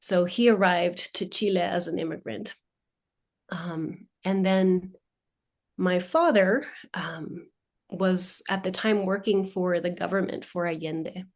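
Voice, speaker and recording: This is a woman in her forties with a fairly low voice, speaking as a guest on an interview show. She tells her family's story thoughtfully and at a measured pace, her voice dropping softly at the ends of phrases. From United States. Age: 40s. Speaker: guest, leadership coach.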